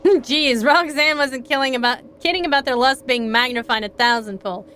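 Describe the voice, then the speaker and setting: A woman's voice: sits high, normal speed, a bit bright, slight background noise. A woman streamer playing a visual novel live, reading aloud and adding casual, sweary asides. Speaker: VTuber game streamer. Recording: game music behind the voice.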